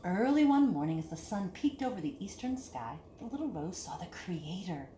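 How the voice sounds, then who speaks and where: A woman reading a picture book aloud to children in an expressive, deliberate storytelling manner, with hushed dips and emphatic lifts. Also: lowish voice. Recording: echoey room with clear background hiss.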